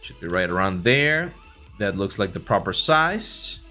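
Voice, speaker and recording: A man with a Mexican accent talks through his 3D modeling work on a livestream, easygoing and full of "uh" and "like". He speaks into a desk mic, and soft music plays faintly underneath. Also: lowish voice, measured pace. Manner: relaxed, filler-heavy, many restarts. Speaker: 3D art instructor and livestream host. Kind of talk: casual livestream tutorial, reading chat.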